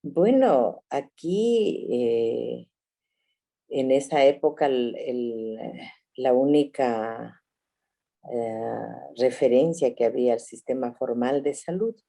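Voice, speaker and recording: A woman in her sixties, a family doctor from Ecuador, telling her story reflectively with soft laughs over a video call. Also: a bass voice, vocal fry. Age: 60s.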